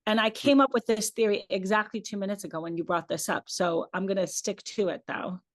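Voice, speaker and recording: A woman's voice: medium pitch, steady tone, brisk. Hebrew-native woman, chatty opera director. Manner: thoughtful, chatty, uses "like" and "um" often. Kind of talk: conversational online lecture.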